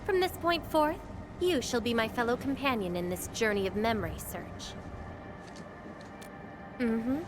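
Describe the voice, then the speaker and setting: A woman's voice: fairly high pitch, unhurried, long pauses, noisy background, close to an everyday voice. A woman playing a self-assured, coolly teasing young warrior in a video game, composed and slightly formal. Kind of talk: video game cutscene acting.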